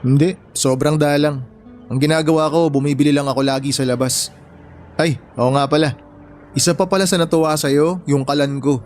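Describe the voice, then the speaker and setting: An actor playing an easygoing, helpful young bachelor in a radio drama, with casual, conversational delivery. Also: male voice, on the high side, fairly even intonation, normal speed, darkish tone, natural voice. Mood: casual, kind. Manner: casual, teasing, reassuring. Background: music bed.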